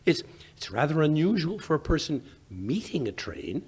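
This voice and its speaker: A man playing a genial, excitable gentleman sidekick with hesitant, old-fashioned speech in a 1940s radio drama style. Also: on the high side, normal speed, breathy edge, heavily acted. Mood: genial, reminiscing.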